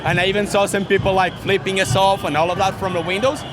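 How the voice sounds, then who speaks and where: A man's voice: high-pitched, flat delivery, fast talker, background noise. A man from Portugal giving a conversational street interview as a protester outdoors. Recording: loud crowd and music on street. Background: loud crowd and music.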